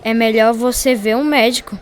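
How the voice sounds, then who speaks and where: A woman's voice: a little high, fairly even intonation, normal speed, soft background music, natural voice. A woman playing an earnest boy, a dutiful son, in a radio drama. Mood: reassuring.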